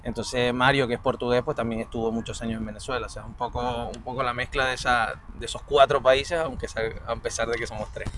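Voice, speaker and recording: Canarian male singer-bassist, loose word-searching answers, mid-pitched, faint noise behind. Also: little pitch movement, quick pace, few pauses, fairly bright tone. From Canary Islands. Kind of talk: casual interview answers.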